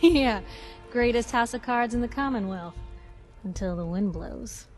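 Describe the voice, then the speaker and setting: A feisty young reporter in a video game. Her voice is high, expressive and full of wry sarcasm, with prominent music playing behind her. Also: measured pace. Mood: defiant sarcasm. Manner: sassy, wry, expressive, needling.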